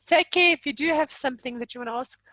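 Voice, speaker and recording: A woman's voice: high-pitched, quick pace, pushed and bright, low-quality recording. A woman hosting an informal online training session in a jokey, chatty, self-deprecating way. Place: remote online meeting from own room.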